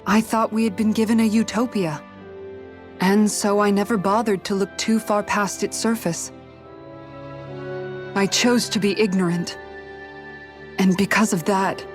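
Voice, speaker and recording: A woman's voice: medium pitch, steady tone, soft background music, lightly acted. Actress as an earnest, resolute young woman warrior. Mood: regretful, reflective. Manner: measured, formal, sincere. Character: earnest, resolute young woman guard turned freedom fighter. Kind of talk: dramatic video game cutscene acting.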